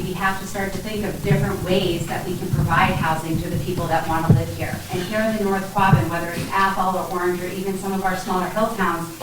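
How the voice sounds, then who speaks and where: A middle-aged woman, a realtor speaking loudly and directly at a public panel. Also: mid-range voice. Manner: loud, direct. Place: library meeting room. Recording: echoey room, far from mic, noisy.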